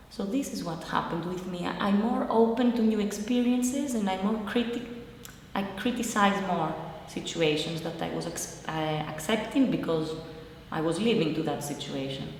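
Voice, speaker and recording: A young woman with a Greek accent, a native Greek speaker, giving a reflective personal account in simple, searching non-native English. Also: mid-range voice. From Greece. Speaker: interviewee, immigrant living in Italy. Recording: very echoey reverberant hall, faint noise.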